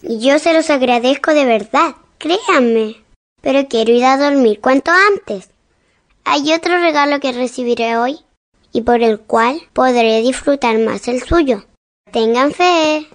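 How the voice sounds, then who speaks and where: A woman's voice: sits high, a little slow. A woman playing a sweet, earnest little Chilean granddaughter in a radio drama. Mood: earnest, affectionate.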